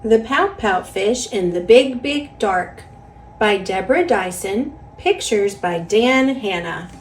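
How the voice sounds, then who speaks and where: A woman with an American accent reading a children's picture book aloud in an expressive, singsong rhyming delivery. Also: medium pitch, takes it slowly, a little hiss or hum, low-quality recording. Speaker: storybook reader.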